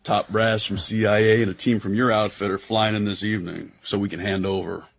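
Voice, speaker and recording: An actor with an American accent playing a tough, loyal intelligence agent in a radio drama, husky, wry and slangy. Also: male voice, fairly low pitch, fairly even intonation, a little fast. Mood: wry, breezy.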